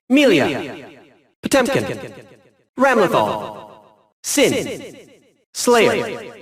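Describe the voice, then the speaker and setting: An actor playing a haughty, eccentric young genius villain in a video game, with a light youthful voice and precise, wordy, theatrical diction. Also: male voice, high-pitched, fairly bright tone, echoey room, heavily acted. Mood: showy announcing. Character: small, prim, eccentric genius villain, smug and condescending.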